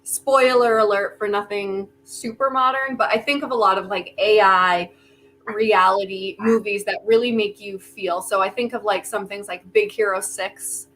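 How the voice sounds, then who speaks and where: A woman's voice: medium pitch, steady tone, normal speed, a bit bright. A woman chatting casually as an actor on a video call, piecing her thoughts together with some hesitation. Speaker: actor in a post-show discussion. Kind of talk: casual chat. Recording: noisy video call audio.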